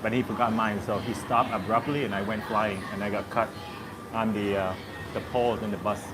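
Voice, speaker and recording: A man in his thirties talks to his live viewers in a loose, rambling way, full of "like" and "uh". His voice sits a little low. Loud traffic from a busy street nearly buries him. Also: steady tone, brisk. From Southern Vietnam. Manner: rambling, filler-heavy and easygoing. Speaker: vlogger. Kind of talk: casual chat with live viewers. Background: loud traffic and street noise.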